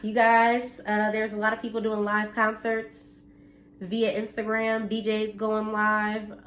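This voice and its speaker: A woman's voice: mid-range voice, monotone, measured pace, a bit bright, roomy sound, slight background noise. A woman in her thirties from the United States, slightly nasal and congested from allergies, talking earnestly and bluntly to her viewers from home, cursing now and then. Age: 30s. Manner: earnest and blunt, mildly preachy, occasional cursing. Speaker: vlogger. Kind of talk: casual direct-to-camera talk.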